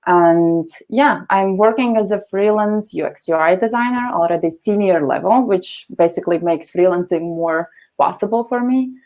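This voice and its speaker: A woman's voice: on the low side, moderate pace, few pauses, darkish tone. A woman from Latvia speaking casually as a panelist on an online video call. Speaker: panelist, freelance designer. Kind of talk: casual self-introduction on a panel.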